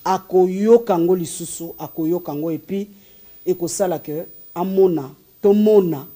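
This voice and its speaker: Congolese male host, Congolese French accent, high voice, slow probing questioner. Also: mellow. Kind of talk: interview questions mixing French and Lingala.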